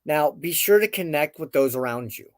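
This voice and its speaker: A man hosting a spiritual tarot reading, speaking reflectively in deliberate phrases with frequent pauses. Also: on the high side, expressive. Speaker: host of a spiritual tarot show. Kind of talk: tarot card reading, reflective spoken commentary.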